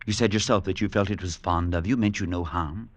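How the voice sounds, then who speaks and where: An actor playing a grave, solicitous clergyman who tells a horror tale with measured, dramatic delivery in an old radio drama. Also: low-pitched, dry recording, lightly acted. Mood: soothing, concerned. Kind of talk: 1940s-50s radio drama acting and narration. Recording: noisy vintage radio recording.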